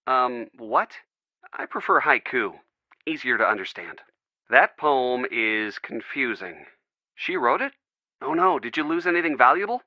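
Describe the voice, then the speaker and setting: A man's voice: on the high side, sharp tone, no room sound, highs cut off, exaggerated voice. An actor playing a wry, helpful young male sidekick who gives casual advice in a video game. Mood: bemused, helpful. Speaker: supporting character in a detective adventure game.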